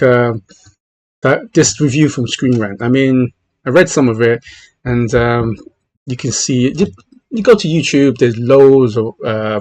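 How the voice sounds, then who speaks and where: A man's voice: mid-range voice, a little mellow, dry recording, poor audio. A middle-aged man who grew up in China, speaking with an English accent and a trace of his native Cantonese, giving casual gaming commentary full of restarts and repeated words. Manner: talks in bursts, many restarts and repeated words. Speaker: gaming channel host.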